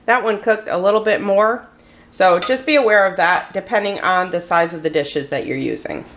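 A woman's voice: mid-range voice, a bit bright. Chatty woman walking through recipes. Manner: chatty, explains step by step. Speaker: cooking channel host. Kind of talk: casual meal prep tutorial. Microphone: voice-over while hands cook on camera.

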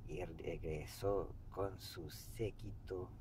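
A man in his fifties reading a book aloud meditatively in a halting way, pausing mid-sentence, recorded inside a car. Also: low voice, poor audio. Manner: halting, pauses mid-sentence, clears throat. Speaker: amateur reader of a book. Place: inside a parked car by a street. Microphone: camera an arm's length or so away. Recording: steady background noise inside a car.

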